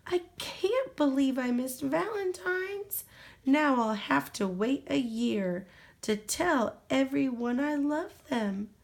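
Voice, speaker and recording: A woman's voice: high-pitched, expressive, slow, creaky, voice pushed up, heavily acted. A woman voicing an earnest, anxious little storybook girl in a tiny, plaintive voice. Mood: dismayed.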